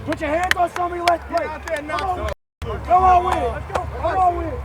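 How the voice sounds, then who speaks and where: A male football player shouting a rousing, repetitive pep talk to his team. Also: very high voice, brisk. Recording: loud crowd and outdoor stadium noise.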